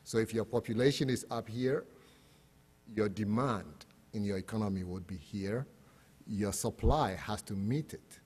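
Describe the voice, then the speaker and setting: A man's voice: fairly low pitch, a little slow, a little mellow, slightly rough. A man from Ghana with a Ghanaian accent, giving an explanatory, plain-spoken panel presentation as an academic speaker. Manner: explanatory, plain-spoken, poses rhetorical questions. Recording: echoey hall with long reverb.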